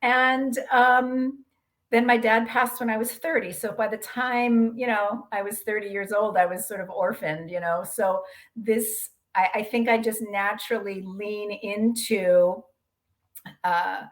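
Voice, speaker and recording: American lady, middle aged, podcast guest chatting, mid pitch, kinda thoughtful and halting. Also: fairly even intonation. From USA. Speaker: podcast guest, transformation mentor. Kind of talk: casual conversational interview.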